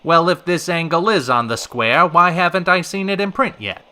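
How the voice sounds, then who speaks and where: Man acting as sharp, sardonic high-voiced radio host. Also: normal speed. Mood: skeptical, sarcastic. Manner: wry, theatrical, old-fashioned slangy patter. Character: sardonic, flustered male radio call-in show host in 1930s city. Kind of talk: old-time radio drama acting.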